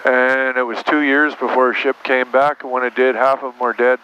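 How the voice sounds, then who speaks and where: A man's voice: mid-range voice, a little fast. Plain-spoken male pilot through dull cockpit audio. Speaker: airline captain. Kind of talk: casual cockpit chat. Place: small turboprop aircraft cockpit in flight. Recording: dull muffled audio, steady aircraft engine noise. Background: aircraft engine drone.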